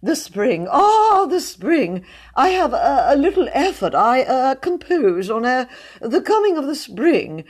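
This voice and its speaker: A woman voicing a pompous, sanctimonious young gentleman poet who talks in an affected, high-pitched voice full of hesitant pauses. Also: very animated, breathy edge, heavily acted. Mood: pompous, gushing.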